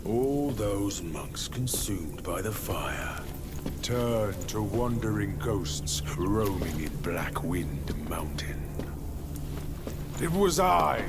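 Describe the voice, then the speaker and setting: An actor playing a proud, taunting demon bear lord in a video game cutscene. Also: male voice, lowish voice, lively intonation, background noise, exaggerated voice. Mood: brooding. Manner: slow, brooding, then taunting bursts.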